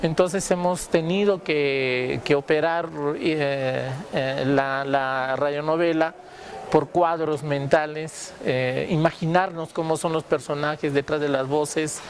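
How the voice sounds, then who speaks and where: A man with a fairly high voice explains his work in an interview. He feels his way haltingly through phrases, with slight noise in the background. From Peru. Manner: halting, searching for words. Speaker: comic strip creator.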